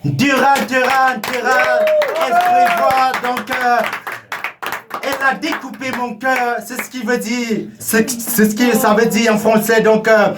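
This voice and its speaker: A man's voice: very high-pitched, sing-song, unhurried, recorded from a distance, very noisy. A man hosting a live studio talk show, talking with many fillers and repeated words. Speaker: TV show host. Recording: echoey room, voice from afar.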